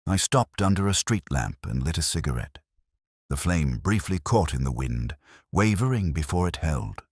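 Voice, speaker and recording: A man playing a brooding, world-weary police inspector who narrates his case in a measured, sombre, wry literary style. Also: very deep voice, very animated, slightly rough, no room sound, clean studio sound, full character voice. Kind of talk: literary first-person detective drama narration.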